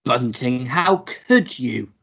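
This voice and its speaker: A woman playing an overwrought, childish gentleman hero, wailing and halting in a melodramatic radio play. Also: rumbling low, animated, gravelly, husky, lo-fi sound, exaggerated voice. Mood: wounded, accusing. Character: dazed, hysterical, childish gentleman hero mourning his teddy.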